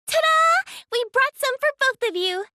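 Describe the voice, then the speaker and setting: Squeaky, bright excitable little girl. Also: unhurried, no echo, studio quality, heavily acted. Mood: excited, cheerful. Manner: childlike, eager, sing-song. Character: small excitable little girl, bubbly sister. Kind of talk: English anime game voice acting.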